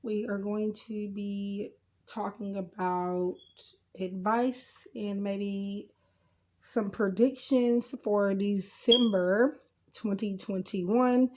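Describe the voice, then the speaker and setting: A woman hosting a casual, unscripted tarot card reading in a rambling, informal way, her voice a little rough from being sick. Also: mid-range voice, steady tone, drawn-out words, darkish tone. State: getting over sickness, slightly rough voice. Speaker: tarot card reader and channel host.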